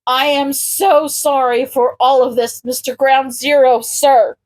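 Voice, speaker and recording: A woman's voice: sits high, steady tone, a little slow, darkish tone. A woman voicing an easily flustered, apologetic man in a story, earnest and given to exclaiming and cursing. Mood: mortified.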